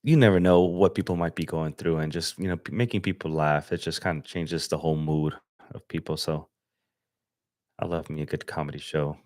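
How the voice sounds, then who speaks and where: A young man from Los Angeles chatting casually on a livestream as he edits photos, relaxed and slangy, thinking aloud with fillers. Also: extremely low pitch, brisk, no room sound, clean studio sound. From Los Angeles, USA. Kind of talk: casual livestream chat while editing. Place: home desk setup.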